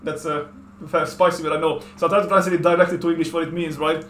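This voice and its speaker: A man with a Hungarian accent rattles on fast in a casual stream chat, stumbling over restarts and fillers. His voice sits a touch high, and the room gives it a noticeable echo with faint background ambience. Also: little pitch movement, fairly bright tone, a little hiss or hum. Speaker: streamer host.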